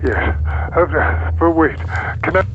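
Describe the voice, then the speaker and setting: A worried father speaks haltingly in this radio drama. He sounds distant and muffled, with very loud noise over the call. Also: a little high. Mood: anxious. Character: anxious father phoned by his daughter's kidnapper.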